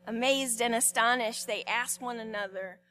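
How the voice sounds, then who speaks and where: A woman pastor delivering a sermon, telling the story expressively with repetitions and dramatic pauses. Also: fairly high pitch, sharp tone, slight background noise.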